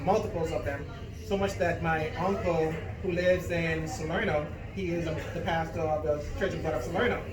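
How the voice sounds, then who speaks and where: Earnest man from the Bahamas, echoey. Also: a little high. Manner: earnest and forceful, laughs easily in banter. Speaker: political candidate. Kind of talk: casual talk at a neighborhood meet and greet. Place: gathering in a room with people around. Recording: echoey room, mic far off, crowd noise.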